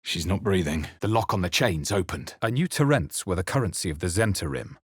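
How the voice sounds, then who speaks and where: A man playing a wary, grave fantasy adventurer in a video game, with deliberate, theatrical delivery. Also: low-pitched, lively intonation, breathy edge, dry recording, studio quality. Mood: wary, terse. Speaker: video game player-character voice actor. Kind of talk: dramatic scripted game narration and voice lines.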